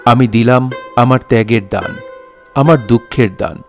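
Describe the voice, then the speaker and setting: A man's voice: mid-range voice, measured pace, vocal fry, soft background music. A man playing a solemn, sensitive poet who narrates his own novel in a reflective, literary way. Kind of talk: dramatised literary storytelling.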